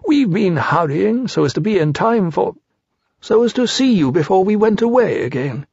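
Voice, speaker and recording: An amiable, muddled little storybook bear, voiced by a man. His voice is raised and a little husky, polite and hesitant, rambling in a lively up-and-down way. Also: sits high, normal speed, dry recording, lo-fi sound, voice pushed up, heavily acted.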